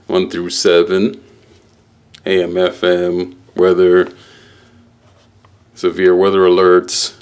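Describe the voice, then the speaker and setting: A man giving a casual, halting unboxing walkthrough as a product reviewer, explaining things piece by piece. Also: low-pitched, monotone, a little mellow, smooth.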